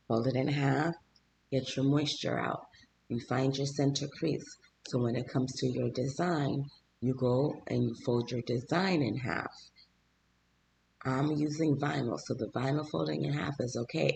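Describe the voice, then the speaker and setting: A man narrates his own vlog in a casual voice-over, talking to his viewers like friends. His voice is hoarse and gravelly, with a mid pitch. A faint hiss sits under the recording. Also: a little mellow. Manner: chatty, talks straight to viewers. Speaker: small business owner and channel host.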